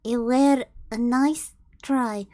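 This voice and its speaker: A woman's voice: a little high, steady tone, extremely slow, warm tone, dry recording. Smug taunting ogre, as a woman reads him.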